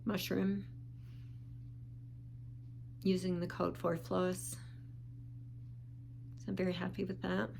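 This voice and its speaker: A woman with a low, gravelly voice gives a relaxed show-and-tell vlog, sounding slightly sniffly. She talks very slowly and calmly, pausing now and then to find her words. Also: slight background noise. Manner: calm, unhurried, with small pauses and restarts. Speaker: vlogger.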